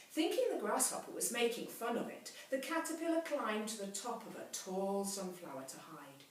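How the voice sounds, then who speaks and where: A woman reading a children's story aloud like a teacher, dramatically, with hushed, drawn-out phrase endings. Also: mid-range voice, recorded from a distance. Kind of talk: expressive children's storybook narration. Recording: very echoey room, off mic, faint hiss.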